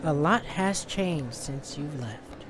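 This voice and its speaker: Man playing a courteous, animated pony agent. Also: on the high side, slow, music in the background. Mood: polite. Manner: courteous, formal. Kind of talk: audio drama acting.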